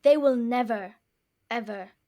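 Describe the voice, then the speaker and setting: Woman playing a sulky, high-voiced young girl. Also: measured pace, dark tone, natural voice. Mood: angry, sulky. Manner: sulky, emphatic, petulant. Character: hurt, stubborn ten-year-old girl, grieving her mother. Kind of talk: children's audio drama acting.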